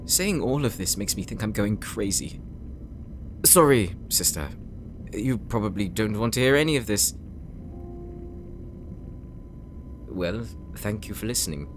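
An actor playing an earnest, insecure young knight in an audio roleplay, speaking gently and hesitantly, as if confiding in his sister. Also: on the low side, expressive, normal speed, a little hiss or hum, close to an everyday voice. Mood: apologetic, sheepish. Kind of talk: audio roleplay drama, intimate monologue.

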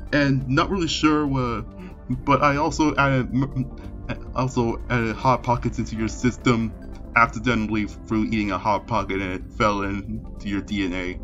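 A man playing a regretful scientist grandfather, halting and solemn, in an improvised parody dub. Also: mid-range voice, a bit bright, highs cut off, close to an everyday voice. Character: regretful male scientist grandfather leaving a recorded confession.

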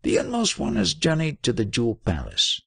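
A man acts out a giant of a trader from a storybook, boisterous and easily spooked. He bellows effusively, his voice leaping well above a narrator's register and swinging widely, with a rough husky edge. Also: on the high side, wildly swooping pitch, moderate pace, fairly bright tone, no echo, pitched above the performer's own voice, exaggerated voice. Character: huge, boisterous, superstitious male Tibetan trader, bellowing and fearful.

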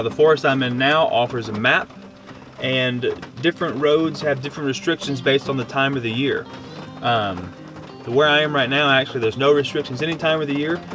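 A man in his thirties with an American accent, talking casually to camera as he gives camping advice outdoors. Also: medium pitch. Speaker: camping vlogger. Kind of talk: casual instructional talk to camera. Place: outdoors at a forest campsite under a shelter. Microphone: on-camera shotgun mic at short distance.

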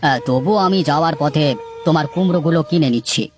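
Man acting a high-voiced, authoritative cartoon merchant. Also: natural voice. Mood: kindly. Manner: commanding yet measured. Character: kind, authoritative merchant man, fair but businesslike. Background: background music.

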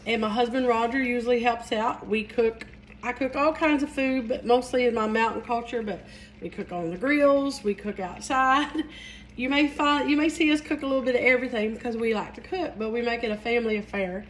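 Woman, middle-aged, Kentucky mountain accent, folksy cooking host, slightly high voice. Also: fairly quick, a little hiss or hum. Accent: Southern Appalachian, Kentucky. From Southeast Kentucky. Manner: folksy, chatty, says y'all. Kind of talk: live cooking stream chat. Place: home kitchen.

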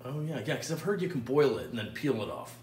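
A man's voice: medium pitch, big pitch swings, quick pace, a crowd in the background. A middle-aged man as a guest in a cooking show, asking eager questions while he chops vegetables in a large home kitchen. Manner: curious, asks many questions, eager. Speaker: guest cook. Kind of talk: casual cooking demonstration. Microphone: far camera mic. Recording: echoey kitchen from far off, clattering dishes.

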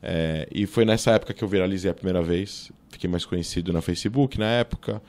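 A man in his thirties with a São Paulo Brazilian accent, a guest in a podcast interview, telling his story quickly with many fillers and restarts, speaking into a boom-arm studio mic in a small studio. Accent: Brazilian Portuguese, São Paulo. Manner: fast, animated storytelling with many fillers and self-corrections. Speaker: guest, political scientist. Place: small studio with table and monitor.

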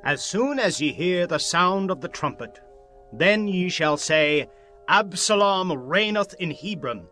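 An actor playing an ambitious, proud prince in a dramatized Bible recording, declamatory and emphatic. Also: male voice, high-pitched, animated, a little slow, sharp tone, soft background music, exaggerated voice. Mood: commanding. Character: ambitious, scheming prince Absalom, proud and persuasive. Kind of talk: dramatized Bible audio acting.

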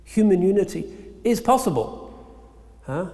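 A middle-aged man delivering a solemn, measured sermon in short rhetorical phrases with pauses, as an imam. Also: high-pitched, animated, dark tone. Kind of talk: Eid sermon. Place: large mosque interior, at a lectern. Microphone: microphone on a stand. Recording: long hall reverb.

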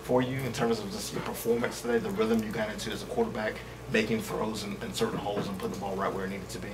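A man's voice: lowish voice, flat delivery, quick pace. A male sports reporter asking long, rambling questions at a press conference. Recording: distant voice with clear room noise.

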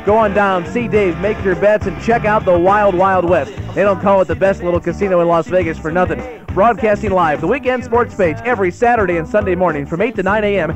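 Male promo announcer, notably high voice, scripted radio spot over a clear music bed. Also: fairly quick, hardly any pauses, muffled recording.

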